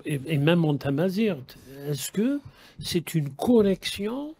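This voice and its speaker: A man's voice: high-pitched, sing-song, takes it slowly, mellow, breathy edge. A man hosting a radio interview, asking long, rambling questions with many halts and restarts. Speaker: radio host.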